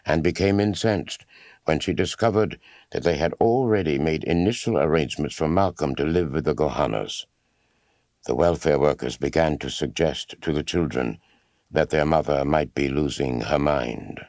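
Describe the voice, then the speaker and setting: A man's voice: rumbling low, darkish tone, no echo. A man narrating a biography in the third person from a script, as an audiobook narrator.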